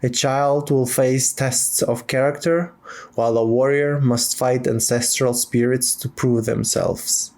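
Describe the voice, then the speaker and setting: A man playing a solemn lorekeeper, narrating fantasy game lore in a measured manner. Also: medium pitch, fairly even intonation, darkish tone, lightly acted.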